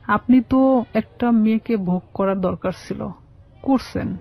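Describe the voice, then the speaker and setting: A Bangladeshi woman giving personal testimony as an interview guest, in a halting, plain-spoken way. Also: mid-range voice, gravelly. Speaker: guest telling her life story. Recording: dull, muffled lo-fi sound.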